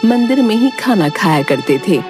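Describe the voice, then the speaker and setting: A woman's voice: medium pitch, big pitch swings, moderate pace, close to an everyday voice. A woman reading scripted narration for a cartoon moral story. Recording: music behind the voice.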